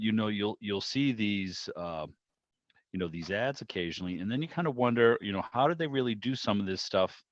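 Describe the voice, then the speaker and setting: A man's voice: on the low side, a bit bright, no room sound. An older man with an American accent chatting casually and in a rambling way as host of an online club meeting. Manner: rambling, conversational asides. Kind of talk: casual chat. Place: remote video call. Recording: dull lo-fi video call audio.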